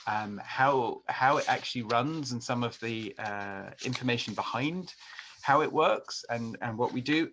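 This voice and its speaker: A man's voice: mid-range voice, a bit bright. Middle-aged English man, podcast host, chatty webinar presenter, frequent ums and restarts, video call mic. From England. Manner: conversational, frequent fillers and restarts.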